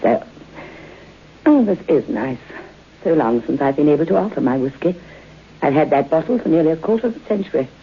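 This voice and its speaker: An actress playing a genteel, knowing middle-aged widow in a vintage radio suspense play. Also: female voice, deep voice, animated, fairly quick, long pauses, slight background noise. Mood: coyly hospitable. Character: genteel, eerie middle-aged widow hostess, coyly hospitable. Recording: muffled tinny old radio broadcast.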